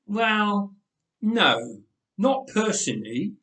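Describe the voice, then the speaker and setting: A man's voice: high-pitched, very animated, extremely slow, sharp tone, voice pushed up, exaggerated voice. Man playing a huffy, scolding aunt. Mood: prim. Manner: huffing, scolding, prim. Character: stern huffy middle-aged aunt. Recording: roomy church echo.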